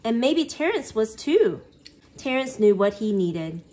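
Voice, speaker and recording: A woman's voice: on the high side, very animated, measured pace, warm tone. A woman reading a children's picture book aloud expressively, acting out exclamations and sound effects. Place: living room at home.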